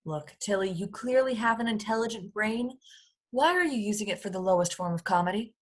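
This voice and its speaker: A woman's voice: mid-range voice, lively intonation, fairly quick, close to an everyday voice. A woman playing a blunt, easily bored alien overseer in a comedy table read. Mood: condescending. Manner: blunt, dismissive.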